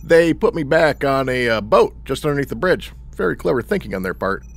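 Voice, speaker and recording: A man's voice: mid-range voice, very animated, fairly quick, creaky. A man playing a breezy, cheerfully reckless chatterer. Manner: jaunty, blasé, anecdotal. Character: breezy, cheerfully reckless, chatty regular customer named Charlie. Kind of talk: comedy audio drama acting.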